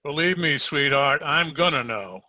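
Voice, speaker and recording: Hardboiled detective for old-time radio drama, male actor: sardonic, tough, piercingly bright delivery, dry close sound. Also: on the high side, lively intonation, normal speed, no echo. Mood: tough, needling. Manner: wry, tough-talking, sardonic. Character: wisecracking hardboiled male private detective, unflappable. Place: home recording over video call.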